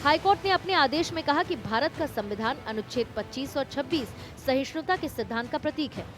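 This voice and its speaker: A woman's voice: sits high, animated, brisk. A woman reading a scripted television news report as a voice-over. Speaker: news narrator.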